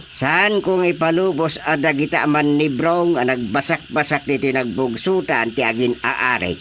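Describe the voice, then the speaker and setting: An actor playing a gruff, questioning village chairman in an Ilocano radio drama comedy, with an Ilocano accent. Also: fairly high pitch, moderate pace, fairly bright tone, slight background noise, close to an everyday voice. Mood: stern.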